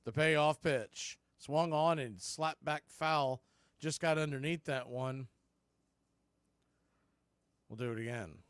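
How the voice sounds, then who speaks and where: Guy doing live baseball play by play, kinda high voice, takes his time, really dry close sound. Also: slow pace, long pauses, clear, resonant tone, no echo. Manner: swings from hushed calls to excited shouts. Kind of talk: live radio play-by-play commentary.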